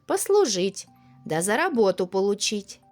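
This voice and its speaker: A woman's voice: medium pitch, big pitch swings, a little slow, soft background music. A woman voicing a lazy, haughty young girl in a storybook tale, whiny and dismissive. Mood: pert.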